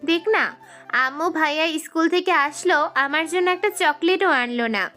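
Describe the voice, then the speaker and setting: A woman's voice: very high-pitched, moderate pace, sharp tone, faint music behind. A woman playing a playful, pouty little sister in an audio story, with a childlike, teasing, sweetly whining way of talking. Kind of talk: Bengali audio story acting.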